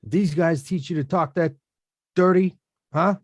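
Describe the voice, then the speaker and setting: A man's voice: on the high side, measured pace, dry recording, studio quality. An actor in a table read playing a plainspoken working-class boxer, protective and streetwise in his slangy talk. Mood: angry, protective. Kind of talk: screenplay table read acting.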